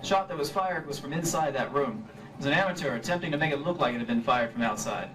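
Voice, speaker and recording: Cool male detective, played by an actor, fast-talking, wry, radio-play narration, slightly high, live stage recording. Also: far from the mic, noisy background, close to an everyday voice. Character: cool, wry male insurance investigator, hard-boiled detective. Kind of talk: old-time radio drama acting and first-person narration.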